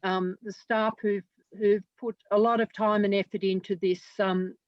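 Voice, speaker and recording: A woman councillor speaks to a motion in a measured way, pausing with frequent ums and restarts. Her sound is dry and close, with a dull, lo-fi quality. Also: medium pitch, little pitch movement, no echo.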